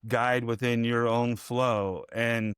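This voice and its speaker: A man's voice: medium pitch, little pitch movement, takes it slowly, a bit bright, smooth, dry recording, clean studio sound. A man hosting an interview, speaking in a reflective, rambling, motivational way. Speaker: podcast host. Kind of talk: conversational interview.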